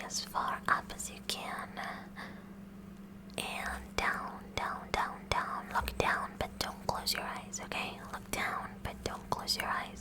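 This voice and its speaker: A woman voicing a kooky, curious high school science club president in a slow, repetitive, instructing ASMR roleplay. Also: natural voice.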